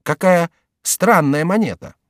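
A man voicing a sly, teasing court jester with excitable, mock-indignant exclamations in a storybook reading. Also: on the high side, unhurried, dry recording, clean studio sound, pitched above the performer's own voice, close to an everyday voice. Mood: sly, excited. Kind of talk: dramatised audiobook character acting.

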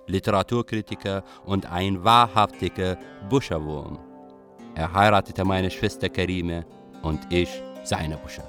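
A man's voice: on the low side, fairly bright tone, lightly acted. A man playing a young Iraqi refugee who tells his life story in a literary radio play. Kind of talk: scripted first-person radio play narration.

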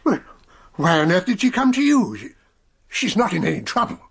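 An actor playing a stuffy, protective old English colonel in a radio drama, proper and easily flustered. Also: male voice, high voice, wildly swooping pitch, normal speed, vocal fry, a crowd in the background, full character voice. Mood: indignant, flustered. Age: older.

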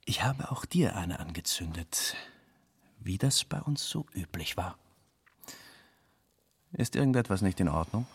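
An actor playing a laconic, sceptical lover in a radio play, with a raspy, gravelly voice. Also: male voice, low voice, lively intonation, measured pace, pauses often, full character voice. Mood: cool, laconic.

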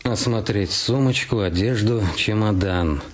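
A man's voice: lowish voice, measured pace. A man reading a detective novel as narrator in a scripted radio production. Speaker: narrator of an audio drama. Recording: clear music under the voice. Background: background music.

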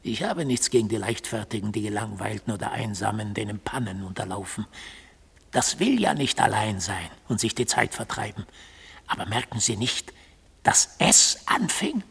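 An actor in a radio drama playing an intense, brooding man, husky and gravelly, recounting events with solemn, portentous deliberation. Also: on the low side, big pitch swings, moderate pace, a bit bright, exaggerated voice. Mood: intense, insistent. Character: intense, brooding male accused, obsessively recounting his pursuit. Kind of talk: literary German radio drama acting.

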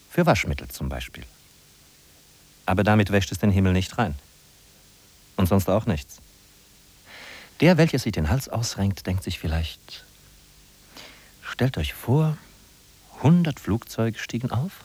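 An actor playing a sardonic narrator in a 1950s German radio play, ironic, declamatory and emphatic. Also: male voice, fairly low pitch, darkish tone, heavily acted. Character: sardonic male narrator of a bleak big-city inventory. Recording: husky-sounding 1950s radio recording from cassette.

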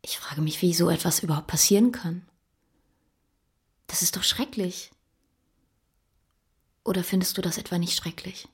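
A woman's voice: on the low side, normal speed, pauses often, natural voice. An actress voicing a chatty, cheerful young girlfriend who is oblivious to the tension around her. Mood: hushed.

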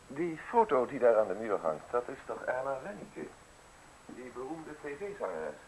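Fussy, gravelly prosecutor, animated. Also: male voice, mid-range voice, moderate pace, rough recording. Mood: prying, insinuating. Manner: wordy, formal, over-polite. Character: modest, fussy, chatty male public prosecutor, politely insinuating. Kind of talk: radio play acting. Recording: hissy old radio play recording.

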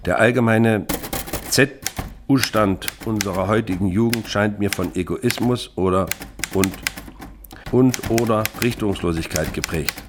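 Guy playing a grumpy middle-aged dad, low voice, stilted pompous dictating, loud typewriter clatter. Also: natural voice. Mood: worried, formal. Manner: stilted dictation aloud, muttering punctuation and curses. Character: grumpy, awkward father typing a pompous formal letter. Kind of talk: radio drama. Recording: noisy room with typewriter clatter. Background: typewriter typing.

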